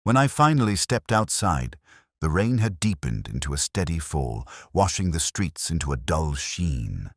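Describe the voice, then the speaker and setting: A man reading a scripted first-person literary mystery in a solemn, measured, reflective manner. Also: rumbling low, big pitch swings, no room sound, studio quality. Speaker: narrator.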